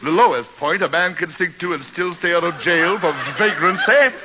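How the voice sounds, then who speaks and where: A man's voice: sits high, moderate pace, lightly acted. Man playing a pompous, declaiming old-school stage actor. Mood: indignant scorn. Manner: theatrical, declamatory, grand diction. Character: pompous, easily outraged veteran male stage actor, grandiose and scornful. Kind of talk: old-time radio comedy acting. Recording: muffled, noisy old radio recording.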